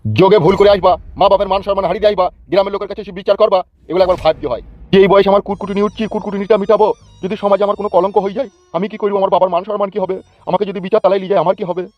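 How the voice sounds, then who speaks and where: A man's voice: high-pitched, fairly even intonation, rapid-fire, no echo, dull audio, lightly acted. A man playing a bossy, crooked, foul-mouthed village politician in a cartoon comedy, blustering and commanding. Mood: stern, self-important. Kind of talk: Bengali cartoon comedy dialogue.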